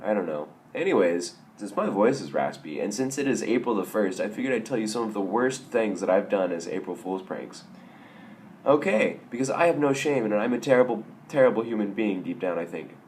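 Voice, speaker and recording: Hoarse young man rambling wryly. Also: on the low side, expressive, fairly quick, darkish tone, slight background noise. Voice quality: raspy. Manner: self-mocking, wry, rambling with restarts. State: hoarse from a sore throat. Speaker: vlogger. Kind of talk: casual vlog storytelling.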